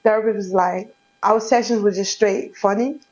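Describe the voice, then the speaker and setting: A woman's voice: mid-range voice, steady tone, normal speed, darkish tone. A young woman from Brooklyn, a comedian talking frankly and streetwise in an interview, often laughing. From Brooklyn, New York. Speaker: comedian guest. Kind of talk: casual interview chat. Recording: dull lo-fi audio.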